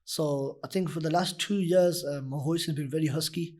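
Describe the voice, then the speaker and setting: A young man with a husky, deep voice, a nasheed reciter talking earnestly in a casual interview, full of "you know" fillers. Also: steady tone, moderate pace, a little mellow. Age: young adult. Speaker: nasheed artist guest.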